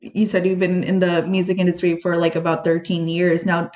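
A woman's voice: on the low side, completely flat delivery, brisk, darkish tone, reverberant. A woman hosting a podcast, asking a guest questions in a conversational way with filler words. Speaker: podcast host. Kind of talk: interviewing a guest.

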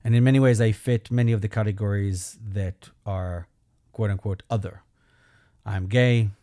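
A man from Belgium with a Belgian accent, a rabbi hosting his show and talking earnestly and personally, with gentle humor. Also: lowish voice, steady tone, normal speed, dry recording, clean studio sound. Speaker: rabbi and podcast host. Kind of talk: reflective solo talk.

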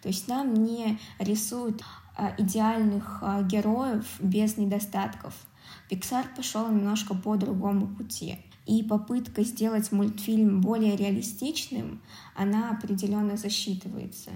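A woman's voice: mid-range voice, steady tone, measured pace, mellow. A woman co-hosting a podcast, giving conversational commentary on a film. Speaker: podcast co-host. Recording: roomy, echoey sound.

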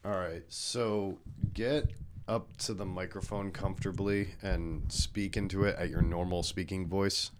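A young man with a very deep voice hosts a casual podcast. He talks loosely, swears often and jokes around with his guest. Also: fairly even intonation, measured pace, a little hiss or hum. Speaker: podcast host.

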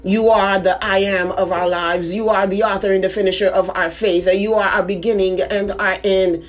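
A woman's voice: mid-range voice, fairly even intonation, normal speed, faint music behind. A woman leading a fervent intercessory prayer, declaiming in an impassioned way with repeated refrains. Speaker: prayer leader.

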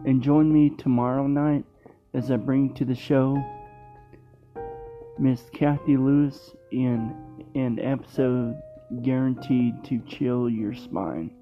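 Male podcast host, unhurried halting read, slightly muffled sound, soft guitar underneath. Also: mid-range voice, steady tone. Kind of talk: scripted podcast outro. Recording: dull, muffled podcast recording. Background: faint guitar music.

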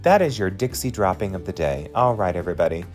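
A man's voice: low-pitched, animated, fast talker, a little mellow, soft background music. A man in his forties hosting a podcast, talking in an effusive, encouraging way straight to his listeners. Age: 40s. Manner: chatty, effusive and encouraging, addresses listeners directly. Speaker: podcast host and drag performer. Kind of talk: casual podcast monologue.

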